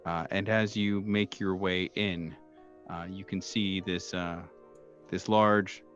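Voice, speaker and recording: A man working as game master, improvising descriptive narration for a horror tabletop game in a halting, hesitant way. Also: low-pitched, steady tone, muffled recording. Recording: music playing behind the voice. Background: soft background music.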